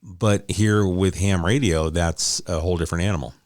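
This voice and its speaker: A man's voice: deep voice, steady tone, normal speed, darkish tone, no room sound, studio quality. A man hosting a conversational interview, often rephrasing as he frames his questions and points. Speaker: interviewer, host; law enforcement officer.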